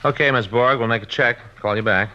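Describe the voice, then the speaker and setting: An actor playing a plain-spoken, slightly grumbling police detective in an old radio drama, with a casual, routine manner. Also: male voice, medium pitch, fairly quick, sharp tone, close to an everyday voice. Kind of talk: 1950s radio drama acting. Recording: dull, faintly noisy old radio recording.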